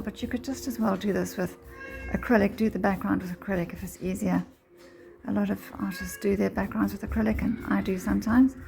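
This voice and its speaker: A woman with a slightly croaky voice giving a casual step-by-step painting tutorial, thinking aloud in a halting way. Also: mid-range voice, mellow. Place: indoors, home painting room.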